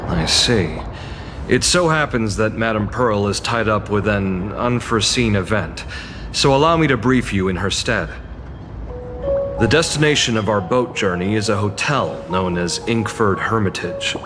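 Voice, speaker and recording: Polite, low-voiced male guide. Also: expressive, unhurried, over music. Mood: courteous, formal. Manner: formal, courteous. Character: polite, deferential male boat guide, easily flustered. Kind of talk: video game voice acting.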